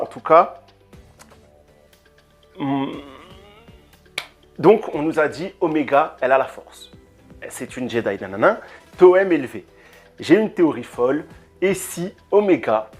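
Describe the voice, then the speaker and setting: Animated man ranting, handheld mic. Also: mid-range voice. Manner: animated, frequent hesitations and repeated words. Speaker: YouTube reviewer. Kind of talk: casual opinion rant. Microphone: handheld microphone.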